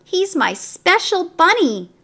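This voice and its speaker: A woman's voice: extremely high pitch, big pitch swings, slow, bright, forceful tone, pitched above the performer's own voice, heavily acted. A woman voicing an eager little girl who talks in a whiny, pleading way.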